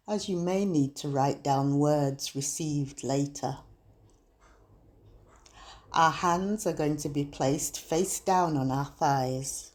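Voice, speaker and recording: A woman guiding a meditation in a soothing, measured, unhurried manner. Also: sits low. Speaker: meditation guide. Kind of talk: guided meditation narration. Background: soft soothing music.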